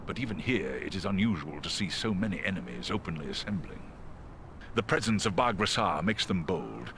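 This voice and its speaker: A grave, noble giant eagle from a fantasy game, speaks in stately declamation. The voice is gravelly and swings expressively, with a faint noise behind it. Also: male voice, sits low, big pitch swings, a little fast, a bit bright, heavily acted. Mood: grave warning. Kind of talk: fantasy game cutscene acting.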